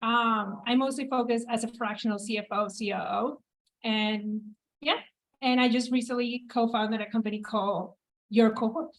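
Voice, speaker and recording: A woman's voice: fairly high pitch, fairly even intonation, normal speed, rough recording. A woman from Venezuela introducing herself as a founder on a live panel, speaking with some hesitant fillers. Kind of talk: panel discussion. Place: event space with a live audience.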